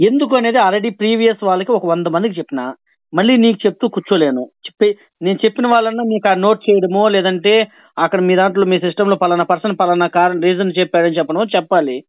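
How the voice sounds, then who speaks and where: A man's voice: high-pitched, fast, no echo. A man arguing over a phone call as a borrower, sarcastic and insistent, repeating himself. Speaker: borrower on a debt collection call. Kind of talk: argumentative phone conversation. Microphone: phone line. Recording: thin, band-limited phone call recording.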